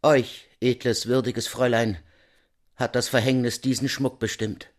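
A man's voice: medium pitch, little pitch movement, a little slow, pitched above the performer's own voice, close to an everyday voice. A man voicing a tormented, devoted master goldsmith, solemn and pleading in courtly speech, in a storybook reading. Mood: solemn devotion.

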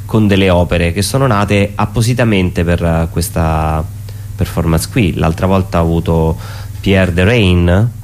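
A man explaining his performance piece informally as a guest, in a halting way with frequent self-corrections. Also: low-pitched, a little mellow, a little hiss or hum. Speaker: performance artist, interview guest. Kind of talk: informal spoken presentation of own show. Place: radio studio.

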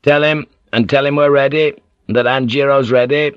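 A man's voice: medium pitch, steady tone, moderate pace, smooth, no room sound, muffled recording, voice pushed up, lightly acted. A man voicing a stoic village headman who speaks in short, firm, laconic sentences.

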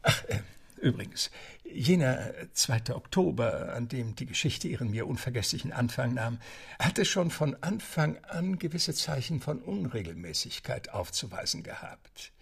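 An actor playing a genteel, courteous English club butler who narrates a radio drama in a discursive, theatrical way. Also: male voice, medium pitch, big pitch swings, moderate pace, gravelly, slightly rough, full character voice. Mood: wry, chatty. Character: genteel English gentlemen's club butler recounting a story, male.

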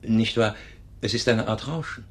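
An actor playing a calm, matter-of-fact doctor in a German radio play, speaking in a measured, clinical way. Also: male voice, lowish voice, natural voice.

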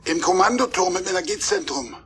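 An actor playing a small, wise robot in a dramatic audio play, precise, measured and grave. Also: male voice, sits high, animated, fairly bright tone, soft background music. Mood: grave, explaining.

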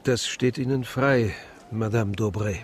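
An actor playing a sober, resigned hospital doctor of old Paris, formal and courteous in a German radio crime drama. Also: male voice, on the low side, measured pace, slight background noise, lightly acted. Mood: professional, courteous.

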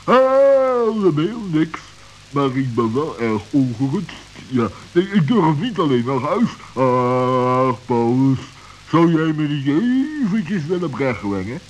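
A man's voice: a little high, sing-song, slow, full character voice. A man voicing a timid, bumbling badger who stammers and mixes up his words in an old children's radio play. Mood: frightened pleading. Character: timid, bumbling male badger, easily frightened. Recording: dull hissy old radio recording.

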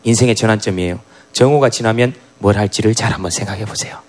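A man's voice: on the low side, quick pace. A man on a TV music show reading listener comments in comic banter, acting out funny voices. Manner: lively, acts out funny voices, laughs often. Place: TV music show studio stage. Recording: broadcast studio with live audience.

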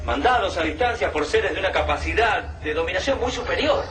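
A man's voice: high voice, expressive, a little fast, fairly bright tone. A man acting a commanding army major rallying his men in a radio play about a sci-fi invasion. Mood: resolute, impressed. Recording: noisy, echoey, distant radio drama battle scene.

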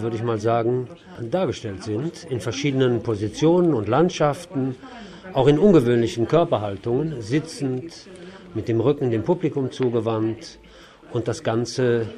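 A male interviewer chats casually on location in a small shop. His voice sits at a medium pitch, and a clear murmur of people runs behind him. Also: animated, moderate pace. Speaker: interviewer and radio host. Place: small shop or gallery indoors. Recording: busy background chatter, recorded on location.

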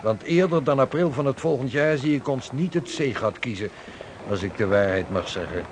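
An actor playing a jovial sea captain and mapmaker in a period radio drama, with formal old-fashioned speech and street noise behind. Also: male voice, medium pitch, animated, normal speed, close to an everyday voice. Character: hearty sea captain and mapmaker, adult man.

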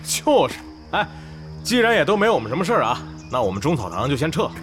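A glib guild chief in a radio drama about gamers. His fairly high voice rises and falls freely, self-excusing, over faint music. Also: big pitch swings, quick pace. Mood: glib, amused. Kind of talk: Chinese audio drama acting.

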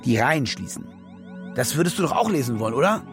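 An actor playing a cynical, self-justifying German war reporter in a radio drama, wry and rambling, with hesitations and restless bursts. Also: male voice, mid-range voice, very animated, quick pace, over music, close to an everyday voice. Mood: excited, cynical. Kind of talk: naturalistic radio drama monologue and dialogue.

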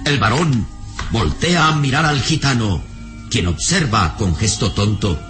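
A man's voice: medium pitch, animated, a little slow, fairly bright tone. A man narrating a radio serial in a dramatic, scripted storytelling style. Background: music.

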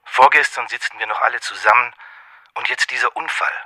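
A guarded man on a telephone in a radio crime play. His filtered voice is extremely sharp and bright, and he speaks with shaken hesitation. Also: mid-range voice, fairly even intonation, fairly quick. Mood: shaken, wary. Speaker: actor in supporting part.

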